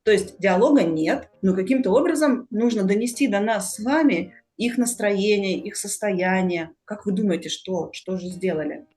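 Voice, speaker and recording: A woman's voice: mid-range voice, moderate pace, hardly any pauses, darkish tone, faint music behind. A woman hosting a conversational podcast, explaining things and addressing her listeners directly. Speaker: podcast host.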